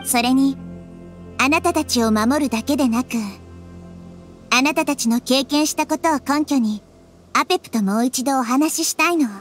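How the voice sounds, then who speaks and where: A woman voicing a wise, caring little-girl deity in an anime game, soft, thoughtful and measured. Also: high voice, close to an everyday voice. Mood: gentle resolve. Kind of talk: Japanese anime game voice acting. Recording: music behind the voice.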